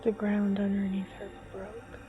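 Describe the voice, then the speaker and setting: A woman narrating a dramatic story in a suspenseful, halting way, leaving long pauses between short phrases. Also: mid-range voice, little pitch movement, narrow, tinny audio, faint music behind. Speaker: storyteller, host.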